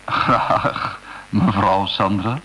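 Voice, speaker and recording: An actor playing a courteous, eager monuments inspector in a radio play, polite and a little officious. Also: male voice, lowish voice, very slow, bright, forceful tone, a little hiss or hum, heavily acted, poor audio. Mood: polite, intrigued.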